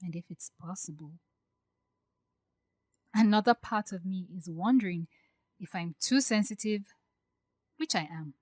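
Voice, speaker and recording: A woman playing an anxious, hurt young bride-to-be in an audio drama, speaking softly and hesitantly as she confides her doubts. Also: on the low side, a little slow, long pauses, no room sound. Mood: anxious, regretful. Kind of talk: acted audio drama dialogue.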